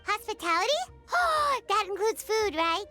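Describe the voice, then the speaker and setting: A woman voicing a tiny, bubbly, childlike fairy companion in a video game, with a squeaky voice and a perky manner. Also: moderate pace, bright, forceful tone, faint music behind. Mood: excited, curious. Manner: perky, refers to herself in third person. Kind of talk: animated video game voice acting.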